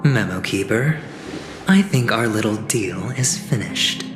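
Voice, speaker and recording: Sly young gambler-negotiator, cocky, teasing, theatrical game dialogue, reverberant, music underneath. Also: male voice, lowish voice, lively intonation, unhurried. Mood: smooth persuasion. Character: smooth, scheming young male gambler-negotiator, cocky and insinuating. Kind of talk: dramatic video game voice acting.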